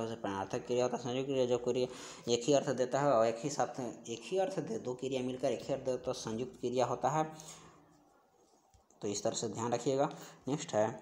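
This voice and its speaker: A man's voice: medium pitch, darkish tone. Man teaching, repeating key terms. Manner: repetitive, instructional, stressing key terms by repeating them. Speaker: Hindi grammar teacher for exam preparation. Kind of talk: explaining quiz questions in an online class.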